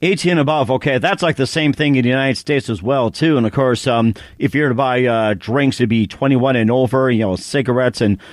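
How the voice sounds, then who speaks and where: A man's voice: medium pitch, a little fast, hardly any pauses, no echo, studio quality. A male podcast host reading sponsor spots and chatting with a guest, rambling with lots of fillers and repeated phrases. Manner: rambling, many fillers and repeated "as well, too". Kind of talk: casual interview with read sponsor spots.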